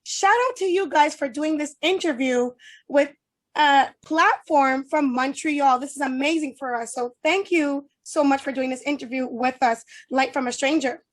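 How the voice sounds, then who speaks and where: A woman hosting an online interview, talking to her guests over a video call. Her voice is high and she sounds enthusiastic and effusive, piling on praise. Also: moderate pace. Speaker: host.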